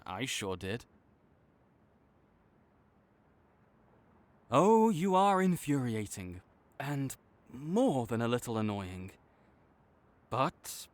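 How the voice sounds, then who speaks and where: Male actor as arrogant supervillain, teasing, theatrical, grudgingly tender, very lively intonation, unhurried pace, audio roleplay. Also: mid-range voice. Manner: smug, teasing, theatrical with sighs. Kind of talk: dramatic roleplay monologue spoken to the listener.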